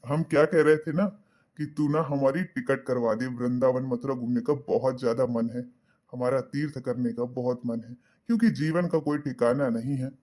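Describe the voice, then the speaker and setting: A man voicing an elderly, pious, accommodating father in a cartoon, soft-spoken and reasoning. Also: mid-range voice, fairly even intonation, normal speed, natural voice. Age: older. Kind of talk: cartoon voice acting.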